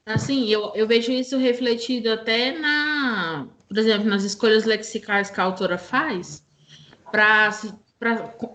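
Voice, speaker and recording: A woman in an online book club who analyzes a story in a measured way. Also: fairly high pitch, a bit bright, slight background noise. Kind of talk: casual literary discussion. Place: home, online video call.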